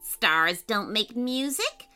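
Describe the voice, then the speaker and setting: A woman's voice: on the high side, animated, slow, pushed and bright, clear, resonant tone, faint music behind, heavily acted. A woman voicing a prim, self-important female bat in a children's story, fussy and scoffing. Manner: fussy, pompous.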